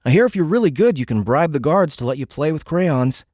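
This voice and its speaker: An indignant American teenager, played by a man for an audiobook drama. His delivery swings widely in pitch, full of sarcasm and slangy exasperation. The sound is dry and close. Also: lively intonation, a little fast, darkish tone, no room sound, lightly acted. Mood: angry, mocking.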